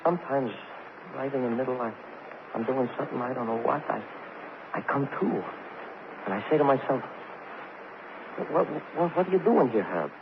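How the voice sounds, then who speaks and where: Rambling gutter drunk, muffled old radio. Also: male voice, mid-range voice, animated, creaky, background noise. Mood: desperate, confessing. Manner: halting, repetitive, stammering, street slang. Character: rambling, self-pitying gutter drunk man, cornered and pleading. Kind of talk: 1950s radio crime drama acting.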